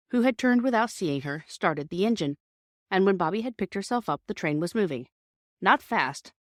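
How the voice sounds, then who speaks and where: A woman's voice: on the low side, no echo, clean studio sound. A woman narrating a children's story from a script as an audiobook reader.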